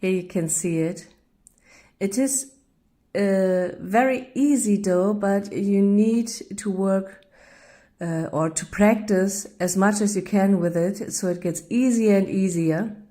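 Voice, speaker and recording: A woman from northern Germany with a German accent, narrating her baking video in English, a second language, pausing often to find words. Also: mid-range voice, mellow. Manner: searching for English words, apologetic, hesitant with many uh fillers. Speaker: cooking vlogger.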